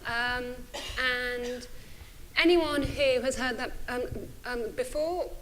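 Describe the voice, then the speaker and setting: Slow-paced woman speaker with a stutter. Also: sits high, fairly bright tone, a little hiss or hum, rough recording. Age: 40s. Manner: hesitant, conversational, self-effacing. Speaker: speaker, runs a think tank. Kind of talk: informal symposium talk.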